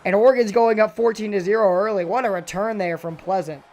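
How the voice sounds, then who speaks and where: Excitable high-voiced young male commentator. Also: fast. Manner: excitable, voice jumps high and loud on big plays. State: raspy voice. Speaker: video game football commentator. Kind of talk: play-by-play commentary.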